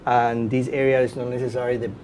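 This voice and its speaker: A man's voice: flat delivery, fast talker, darkish tone. A middle-aged man with a Venezuelan accent, a native Spanish speaker, answering as a guest in a conversational interview with informal asides. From Caracas, Venezuela. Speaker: guest, professor and podcast host. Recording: clear background noise with low rumble.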